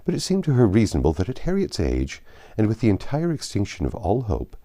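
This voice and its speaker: A man reading a classic novel aloud as an audiobook narrator, with measured, expressive phrasing and drawn-out emphasis. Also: on the low side.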